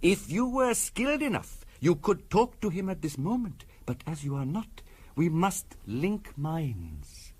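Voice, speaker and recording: An actor playing an earnest, formal spiritualist medium in a 1970s radio drama, measured and insistent in delivery. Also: male voice, on the high side, very animated, breathy edge, exaggerated voice. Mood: stern, earnest. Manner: measured, formal, insistent, sometimes hushed and hypnotic.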